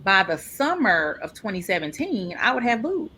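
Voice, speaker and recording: Bright, animated woman telling her story. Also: mid-range voice, moderate pace, slight background noise. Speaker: guest. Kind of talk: casual interview talk.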